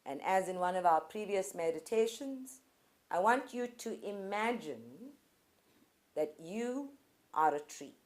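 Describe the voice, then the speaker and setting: A woman leading a guided group meditation, speaking deliberately in short phrases with pauses between them. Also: mid-range voice, animated. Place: live group session at a centre.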